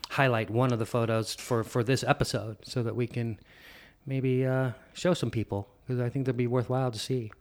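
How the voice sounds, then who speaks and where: A man's voice: mid-range voice, moderate pace. Laid-back male podcast host. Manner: relaxed, chatty, with frequent fillers and restarts. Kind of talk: casual interview chat.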